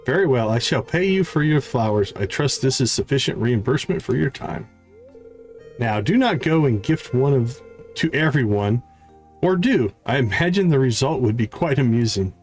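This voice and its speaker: A man's voice: medium pitch, expressive, moderate pace, faint music behind, close to an everyday voice. A man voicing a prim, fussy, well-bred lady florist from a video game, with formal, old-fashioned and haughty phrasing. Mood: genteel, confiding.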